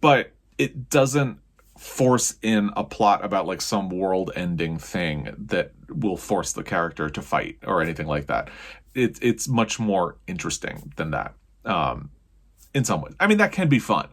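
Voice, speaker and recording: A man's voice: sits low, lively intonation, unhurried, fairly bright tone. A man giving a casual, chatty book review as a video host, leaning on key words and adding self-qualifying asides.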